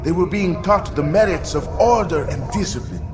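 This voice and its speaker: A man playing a self-righteous, bitter dying lord in a dramatic video game scene, speaking gravely and deliberately. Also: on the high side, animated, music in the background, natural voice. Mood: self-justifying.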